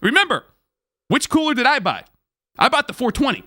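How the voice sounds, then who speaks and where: A man who streams, telling a casual story to viewers in an excitable, slangy way, repeating phrases for emphasis. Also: very high voice, brisk, heavy background noise.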